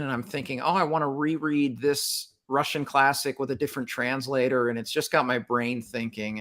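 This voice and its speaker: A man's voice: mid-range voice, a bit bright. A man, a music teacher guesting on a book chat, talking in a reflective way with small restarts.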